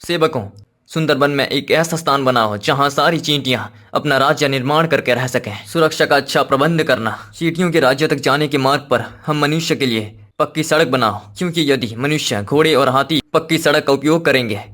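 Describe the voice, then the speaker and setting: A devoted king from a cartoon fable, played by a man, with a mid-pitched voice. He speaks formally and earnestly, as both a commanding ruler and a loving father. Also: brisk, fairly bright tone, close to an everyday voice. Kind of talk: cartoon moral-story acting.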